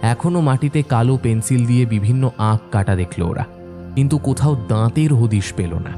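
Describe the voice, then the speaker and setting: A man narrating a scripted horror story in a measured, suspenseful, dramatic manner. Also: medium pitch. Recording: soft music bed behind narration.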